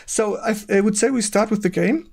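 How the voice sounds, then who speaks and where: A stream host who is a native German speaker, talking in hesitant, halting English with a German accent and repeating words. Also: medium pitch, a little mellow. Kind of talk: livestream interview in non-native English.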